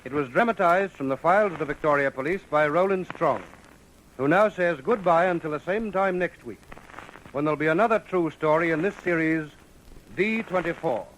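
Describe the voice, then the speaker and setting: High-pitched, solemn Australian male radio narrator. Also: moderate pace, a bit bright. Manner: solemn, declamatory. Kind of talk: formal scripted radio narration. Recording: noisy old radio broadcast recording.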